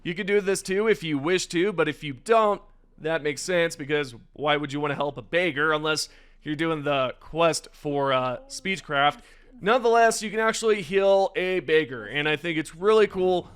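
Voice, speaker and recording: A young man hosting a gaming news video, chatty and enthusiastic, his voice slightly raspy today. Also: a little high, moderate pace, fairly bright tone. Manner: enthusiastic, chatty, addresses viewers directly. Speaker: gaming channel host. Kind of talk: casual gaming news commentary over gameplay.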